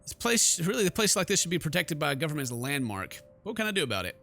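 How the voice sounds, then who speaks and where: A man's voice: on the high side, very animated, racing, creaky, a bit bright. A man hosting a gaming video, jokey and exclamatory, mixing casual commentary and muttered asides with reading text aloud.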